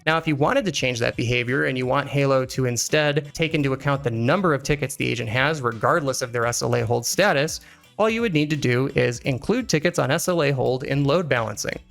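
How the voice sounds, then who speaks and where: A man narrating a software tutorial in an explanatory, conversational way, as an instructor walking through settings. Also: mid-range voice, fairly quick, gravelly. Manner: explanatory, conversational, asks and answers own questions. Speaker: instructor, engineering executive. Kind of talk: software tutorial narration over screen recording. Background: background music.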